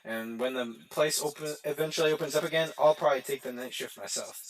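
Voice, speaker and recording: A man's voice: fairly high pitch, quick pace, close to an everyday voice, poor audio. Guy playing a chatty night shift phone guy in a video game, hesitant n rambling, kinda evasive but reassuring. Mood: uneasy, urgent. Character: chatty, evasive male pizzeria employee leaving reassuring phone messages. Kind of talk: voicemail-style game monologue, casual and halting.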